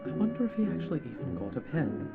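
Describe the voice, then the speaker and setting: A man's voice: on the high side, huge pitch swings, fast talker, narrow, tinny audio, over loud music. A man giving casual, wry running commentary on an old film.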